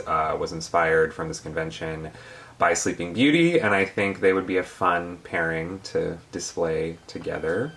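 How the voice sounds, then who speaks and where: Low-voiced man co-hosting a casual review. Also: pushed and bright. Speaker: doll review channel co-host. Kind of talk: casual product review chat.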